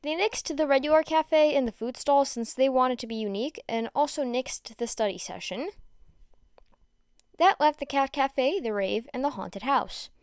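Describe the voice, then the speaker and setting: A woman narrates fan fiction in audiobook style. Her voice is fairly high and crisp, recorded dry without room echo. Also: moderate pace.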